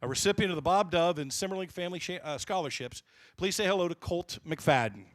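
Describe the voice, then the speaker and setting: A man's voice: sits high, expressive, fast talker, no room sound. Polished male emcee, dry close sound. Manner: polished announcer delivery. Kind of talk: introducing honorees.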